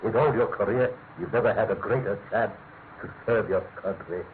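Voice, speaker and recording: A man's voice: lowish voice, normal speed, lightly acted. Commanding official played by a man, tinny radio. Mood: urgent, commanding. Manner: commanding, formal. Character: authoritative, urgent male senior government official. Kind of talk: classic radio drama acting. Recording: tinny, faintly noisy vintage radio.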